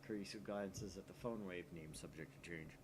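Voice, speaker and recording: A man's voice: low-pitched, moderate pace, noisy background. A man hosting a gaming channel, narrating a visual novel with playful commentary.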